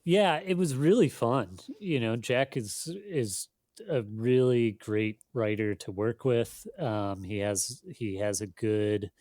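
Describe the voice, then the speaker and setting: Halting, thoughtful man in casual conversation. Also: on the low side, a little mellow, no room sound, clean studio sound. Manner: thoughtful, halting, hedging, self-deprecating. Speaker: magazine editor, interview guest.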